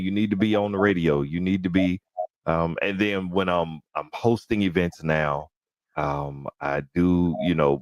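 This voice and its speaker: A man hosting a podcast, speaking with careful, articulate diction and playful animated asides. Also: sits low, no room sound. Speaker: podcast host. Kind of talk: casual interview chat.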